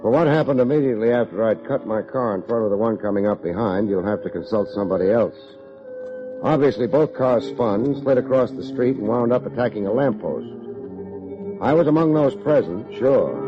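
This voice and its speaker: An American actor playing a wry, self-deprecating private investigator in an old-time radio drama, with a deadpan, wisecracking delivery. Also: male voice, on the low side, a little fast, over music, lightly acted. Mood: wry narrating. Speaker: lead actor, narrator. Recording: muffled old radio recording.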